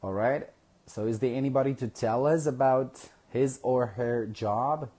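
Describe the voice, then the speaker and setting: A man teaching a live online English lesson, speaking slowly and repeating phrases for his learners. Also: mid-range voice, mellow. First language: Kurdish.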